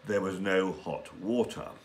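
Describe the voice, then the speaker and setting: Male storyteller, sixties. Slightly low voice, unhurried, careful diction for language learners. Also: slight background noise. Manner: careful, deliberate enunciation. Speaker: story reader. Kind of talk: scripted story narration for English learners. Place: small indoor room with bookshelves and window.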